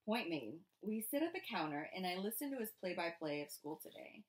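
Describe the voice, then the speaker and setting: A woman reading a first-person novel aloud as expressive narration. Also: lowish voice, a little fast. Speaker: audiobook reader.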